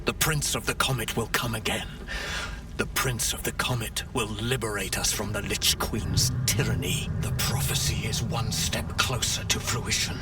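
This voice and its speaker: An actor voicing a stern, zealous githyanki rebel knight in a video game, speaking in a grand, fervent, oratorical manner. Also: male voice, on the low side, steady tone, normal speed, close to an everyday voice. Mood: fervent, ceremonial. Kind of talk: dramatic video game dialogue. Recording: distant, with music underneath.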